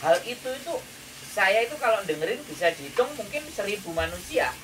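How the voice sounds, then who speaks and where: A man's voice: high voice, sing-song, brisk. A man with a Javanese accent giving advice in an emphatic, lecturing chat, often checking with rhetorical questions. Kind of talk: casual counselling chat. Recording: distant mic, noisy kitchen with frying.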